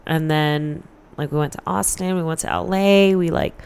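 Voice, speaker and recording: A woman's voice: low voice, fairly even intonation, normal speed, creaky, darkish tone, clear, resonant tone. An American woman chatting casually as an interview guest, candid and informal, swearing freely as she tells personal stories.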